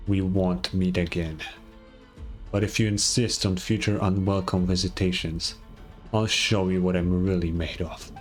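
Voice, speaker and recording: A proud villain from an anime game. The voice is low, with formal, measured phrasing and bitter menace. Game music runs faintly behind. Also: male voice, little pitch movement. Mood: bitter, menacing. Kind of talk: anime video game voice acting.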